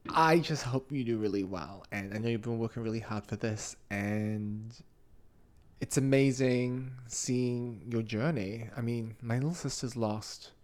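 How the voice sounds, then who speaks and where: A man's voice: medium pitch, a little slow, a little mellow. Campy, chatty man from Tonga. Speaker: podcast co-host. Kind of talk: casual podcast chat.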